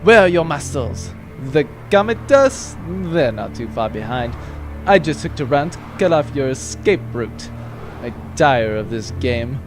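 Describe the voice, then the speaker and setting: A man's voice: mid-range voice, sing-song, a little slow, over music. An actor playing an arrogant, sardonic male space pirate in a comedic sci-fi audio drama, pompous and mocking. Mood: menacing, smug.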